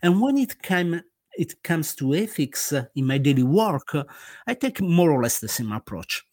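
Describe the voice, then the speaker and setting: A man's voice: a little high, sing-song, moderate pace, a little mellow, dry recording, studio quality. A man with an Italian accent, a guest author in a casual interview, speaking hesitantly with many fillers and restarts. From Italy.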